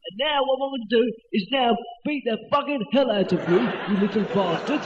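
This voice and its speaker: Teenage boy as blustering high-voiced contestant Chrissy Whitehead. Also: expressive, brisk, muffled recording. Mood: angry, menacing. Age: teens, 15. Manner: blustering, repetitive, threatening. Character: Chrissy Whitehead, rowdy, aggressive, dim male quiz contestant. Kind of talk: improvised amateur radio comedy sketch. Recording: very noisy, reverberant home tape recording.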